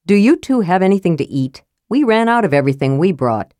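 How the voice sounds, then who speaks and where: Woman reading as worried stern half-elf guy in charge, low serious voice, fantasy audiobook, no echo. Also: big pitch swings, a little fast, dark tone, studio quality. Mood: troubled. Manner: serious, questioning. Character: troubled, stern half-elven male leader.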